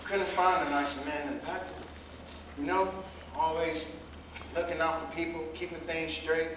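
Male actor as mourning cab driver, earnest, stage drama, echoing, slightly high pitch. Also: flat delivery, moderate pace, close to an everyday voice. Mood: mournful. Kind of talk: staged play reading. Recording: very noisy echoey room, distant mic.

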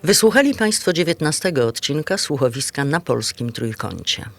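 A male announcer reads scripted closing credits. His voice is fairly high for a man and gravelly, with faint music behind him. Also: big pitch swings, normal speed, a bit bright.

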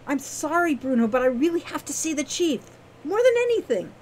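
A woman's voice: high-pitched, big pitch swings, a little fast, darkish tone, pitched above the performer's own voice. A woman voicing an eager, stubborn young girl with a playful, pleading manner in a storybook tale. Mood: pleading, urgent. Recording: clearly noisy background.

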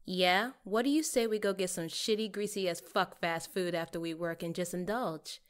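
A woman's voice: fairly low pitch, steady tone, moderate pace, lightly acted. A woman playing a blunt, caring young coworker in an audio drama, talking casually and reassuringly. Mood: friendly, casual.

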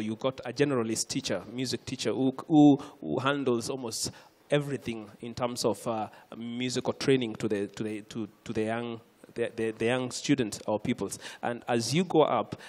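A man's voice: medium pitch, darkish tone, big-room sound. A man with a Kenyan accent answering on a conference panel into a handheld mic, earnest, with many restarts. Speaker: panelist, lecturer. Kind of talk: panel discussion. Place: conference stage.

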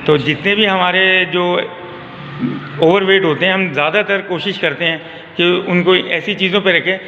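Middle-aged male trainer, patient step-by-step explainer, fairly high voice, boomy echo, dull phone audio. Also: fairly even intonation, moderate pace, sharp tone, soft background music. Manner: patient, step-by-step instruction with frequent "inshallah". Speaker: gym trainer. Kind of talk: explaining a workout plan. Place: indoor gym with hard walls. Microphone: vlogger's phone held at a distance. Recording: very echoey, dull gym audio.

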